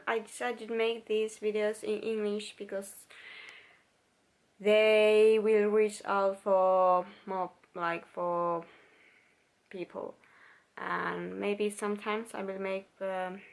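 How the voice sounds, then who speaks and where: A woman's voice: medium pitch, flat delivery, takes it slowly. A young woman with a Finnish accent, speaking English as a second language in a casual personal vlog, searching for words and restarting sentences. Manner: laughs now and then while talking. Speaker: vlogger.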